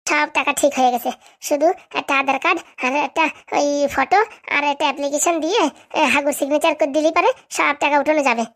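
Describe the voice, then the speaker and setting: High, rapid, sharp-tongued village daughter by a woman. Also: fairly even intonation. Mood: brisk, conspiring. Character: sharp-tongued young adult village daughter, scheming with her father. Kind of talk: comic cartoon dialogue.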